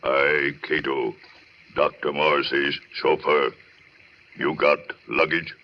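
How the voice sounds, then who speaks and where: Very deep, slow, menacing giant chauffeur. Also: male voice, flat delivery. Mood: curt, ominous. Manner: halting, clipped broken English. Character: giant, menacing male chauffeur of few words. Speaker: actor. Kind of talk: old-time radio drama acting. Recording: muffled, hissy old radio recording.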